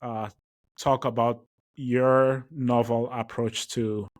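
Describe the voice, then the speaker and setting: A man hosting a podcast, reading a guest introduction and asking questions, upbeat but halting with many fillers. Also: mid-range voice, level tone. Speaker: podcast host. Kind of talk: scripted introduction and interview questions.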